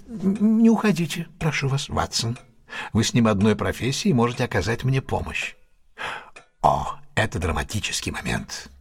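An actor playing a confident, ironic detective with a husky voice in a Russian audio drama, speaking in a deliberate, theatrical, questioning manner. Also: male voice, medium pitch, very animated, slight background noise. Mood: keen, playful, then dramatic, musing.

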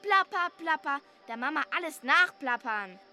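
An actress playing a spiteful little twin sister in a German radio drama, with bratty, sing-song teasing. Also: female voice, sits high, lively intonation, moderate pace, sharp tone, full character voice. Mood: taunting.

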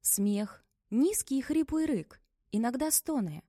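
A woman narrating a story in an even, measured reading voice. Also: sits high, dry recording, clean studio sound. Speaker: audiobook narrator. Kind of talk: scripted audiobook narration.

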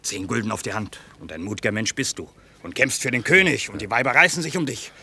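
An actor playing a wily army recruiter, persuasive and swaggering, in a German radio play. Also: male voice, fairly low pitch, sing-song, quick pace, sharp tone, slight background noise, exaggerated voice. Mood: coaxing.

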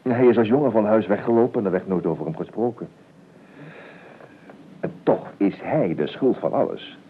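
An actor playing a nervous, evasive, eccentric gentleman in a radio play, stammering and repeating himself with ironic courtesy. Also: lowish voice, moderate pace, husky. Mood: uneasy. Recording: tinny, band-limited old radio recording with noise.

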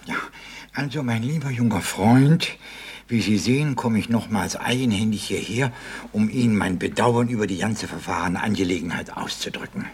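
An actor playing a pompous, fussy Excellency in a comedic radio play, formal, hemming and apologetic. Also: male voice, fairly low pitch, moderate pace, a little mellow, husky.

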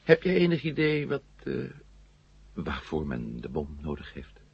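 An actor playing a secretive, controlled astronaut conspirator in a radio play, husky and gravelly, with a measured, authoritative manner. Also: male voice, rumbling low, huge pitch swings, full character voice. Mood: probing. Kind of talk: 1970 Dutch radio play acting. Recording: dull, muffled old radio recording.

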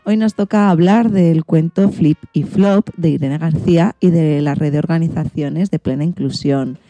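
A woman's voice: low-pitched, moderate pace, mellow, no room sound. A woman with a Spain Spanish accent, presenting a scripted magazine radio show as its host.

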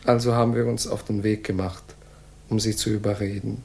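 An actor playing a menacing enforcer, courteous and reproachful with a quiet threat, in a 1960s radio crime drama. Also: male voice, fairly low pitch, flat delivery, fairly quick, gravelly, slight background noise. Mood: polite menace. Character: menacing male enforcer, falsely polite and reproachful.

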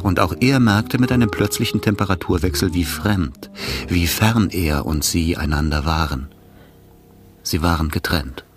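Deep-voiced male radio drama narrator. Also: moderate pace. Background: music.

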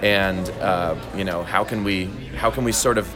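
A man being interviewed as a voice actor, chatting casually and explaining his craft in a halting, thoughtful way. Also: low-pitched, steady tone. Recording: convention recording with loud background music.

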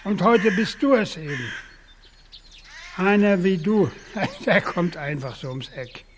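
An actor playing a bitter, world-weary old farmer facing death, brooding and halting, in a German radio play. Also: male voice, sits high, animated, slight background noise. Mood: resigned, bitter. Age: older.

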